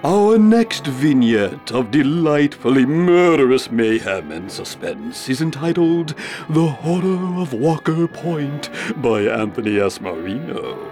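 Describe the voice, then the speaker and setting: An actor playing a flustered, apologetic radio show host in a theatrical live radio drama, prone to stammering and hesitation. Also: male voice, medium pitch, sing-song, slow pace, darkish tone, soft background music. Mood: relishing, ominous.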